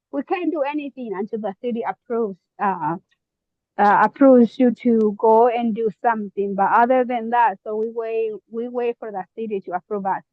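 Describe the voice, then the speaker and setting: A woman from Mexico with a Mexican Spanish accent, a real estate investor talking casually on a video call, often pausing and searching for words. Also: on the high side, no room sound, muffled recording. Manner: halting, searching for words, many fillers. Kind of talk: casual online meeting chat.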